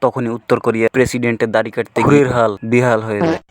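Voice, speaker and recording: A man's voice: mid-range voice, steady tone, fast talker. A man with a Bangladeshi accent reads scripted comic narration for a cartoon. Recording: very noisy track with clicks.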